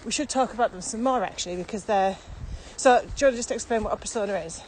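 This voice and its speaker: A woman's voice: mid-range voice, fast talker, rough recording. A woman co-hosting a podcast in casual, conversational chat, thinking aloud as she talks. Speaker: podcast co-host. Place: walking outdoors across open fields. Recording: outdoor recording with steady ambient noise. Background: outdoor ambience.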